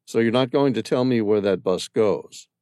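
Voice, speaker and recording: A man's voice: on the low side, normal speed, mellow, no echo, clean studio sound, natural voice. A man reading aloud as a curious male stranger who keeps asking pointed questions. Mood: probing. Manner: inquisitive, persistent questioning.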